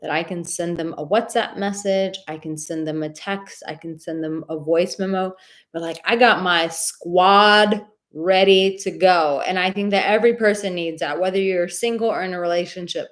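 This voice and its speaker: A woman hosting a live dating advice stream, reading viewer comments in a warm, affectionate and chatty way, with a soothing voice and many filler words. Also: on the low side, fairly even intonation, moderate pace. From Czech heritage.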